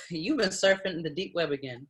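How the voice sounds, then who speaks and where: A woman's voice: on the low side, lively intonation, moderate pace, lightly acted, rough recording. A woman playing a blunt, level-headed, easily exasperated lawyer friend in a comedy table read. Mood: reassuring, matter-of-fact. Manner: blunt, matter-of-fact.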